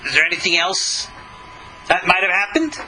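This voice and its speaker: Male religious teacher, mature, high pitch, very sharp bright tone; emphatic, skeptical text commentary over clear background noise. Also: sing-song, normal speed, poor audio. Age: middle-aged or older. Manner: emphatic, skeptical, argumentative, with rhetorical questions. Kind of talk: religious lesson, reading a text aloud with running commentary.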